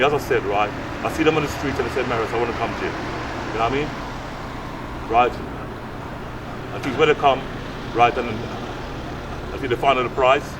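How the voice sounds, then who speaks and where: A man, speaking earnestly and repetitively in a casual interview, often tagging phrases with "right?". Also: medium pitch. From Guyana. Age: late 60s. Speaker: youth fitness coach and community mentor. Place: outdoors on an urban street by brick flats. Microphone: handheld microphone held at chest. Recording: distant outdoor mic, clear street noise.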